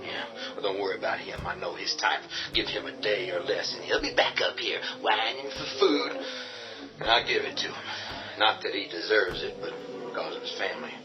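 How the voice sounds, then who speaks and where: An actor playing a calm, firm man who takes charge of the survivors in a radio drama. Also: low voice, normal speed. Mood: dismissive. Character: steady male leader of the survivors. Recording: dull lo-fi audio with music.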